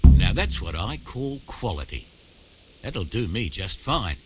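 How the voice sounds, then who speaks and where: A medieval game tanner with a low, lively-pitched voice. He is a wry, practical tradesman who talks conspiratorially over clear background music. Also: a little slow. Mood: satisfied. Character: wry, practical male medieval tanner offering shady hunting jobs. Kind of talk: video game character dialogue.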